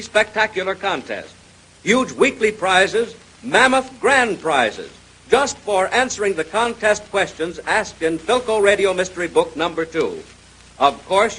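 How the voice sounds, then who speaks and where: A male radio announcer in 1930s style, giving an emphatic contest sales pitch. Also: a bit bright. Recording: noisy vintage radio recording.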